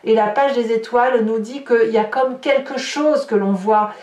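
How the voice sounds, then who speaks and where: A woman giving an unscripted tarot reading as a guidance monologue, halting and often restarting as she searches for words. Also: fairly high pitch, a little mellow. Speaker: tarot card reader. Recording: roomy echo, distant from the mic.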